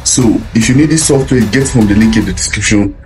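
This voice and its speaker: Male tutorial host, lower-pitched, informal explainer delivery with frequent check-ins, music underneath. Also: fast, a little mellow, rough recording. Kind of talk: casual software tutorial. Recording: music behind the voice. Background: background music.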